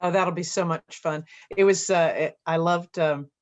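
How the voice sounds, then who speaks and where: A woman's voice: lowish voice, fairly even intonation, moderate pace, dull audio. A woman hosting a casual interview, chatting in a friendly, appreciative way. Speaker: interview host.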